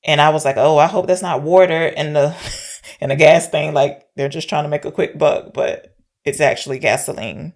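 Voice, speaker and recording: A woman's voice: sits low, moderate pace, a little mellow. A woman podcast co-host chatting casually and candidly as she recaps a film, often posing questions. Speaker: podcast co-host discussing films.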